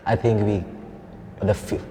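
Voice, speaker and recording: A man's voice: lowish voice, fairly even intonation, measured pace, mellow. A young man with a South African accent giving casual, somewhat hesitant interview answers in an echoey, noisy room. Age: young adult. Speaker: interview guest, student and poet.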